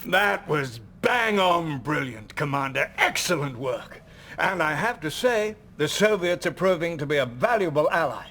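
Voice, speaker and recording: A middle-aged man leading an alliance. He is brisk and quick to exasperation. His voice is a bit high, with faint noise behind it. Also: lively intonation. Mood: pleased. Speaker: allied leader.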